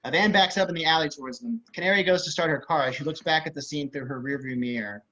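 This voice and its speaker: A man's voice: a little high, fast talker, sharp tone. A man narrating stage directions for a screenplay table read over a video call. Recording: slightly dull video-call audio.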